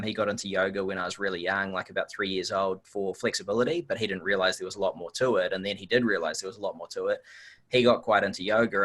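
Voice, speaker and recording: Low-voiced New Zealand man chatting casually. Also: sharp tone. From Auckland, New Zealand. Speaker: podcast guest, nutrition researcher. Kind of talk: casual chat, anecdotal.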